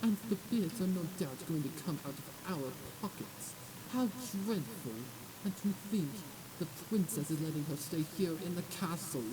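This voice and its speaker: A man's voice: a little high, normal speed, noisy background, pitched above the performer's own voice, lightly acted. A man voicing a haughty, disdainful aristocratic pony gossip from a storybook, snobbish and indignant. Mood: snooty disdain.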